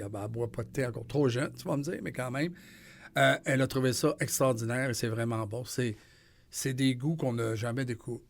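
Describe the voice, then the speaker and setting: Host: quebecois man, mid-pitch, chatty and upbeat, rambles. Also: gravelly, a little hiss or hum. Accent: Quebec French. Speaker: radio host. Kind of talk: radio interview chat.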